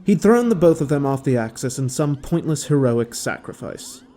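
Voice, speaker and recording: A man narrating a scripted audio drama as a measured, dramatic storyteller. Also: medium pitch, animated, warm tone, soft background music.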